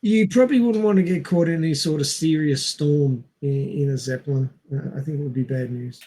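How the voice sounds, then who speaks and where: A man's voice: a little high, brisk, dark tone, slightly rough. A man talking casually on a discussion podcast, explaining things in a dry, understated way. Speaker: podcast co-host or guest.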